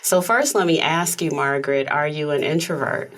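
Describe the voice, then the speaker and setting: Soft-spoken woman hosting a studio talk show. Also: low voice, moderate pace. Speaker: host. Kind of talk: casual interview chat.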